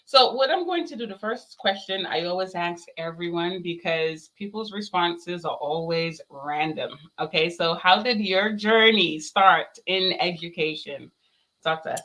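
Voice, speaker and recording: Bright, upbeat woman from Barbados hosting a live interview over a video call, laughs easily. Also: lowish voice, unhurried, poor audio. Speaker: podcast host.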